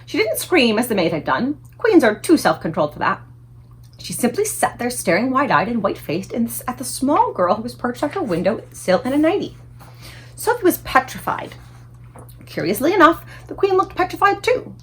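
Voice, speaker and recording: Husky woman storyteller with very animated pitch. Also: normal speed, rough recording. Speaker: read-aloud storyteller. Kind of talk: expressive children's book narration.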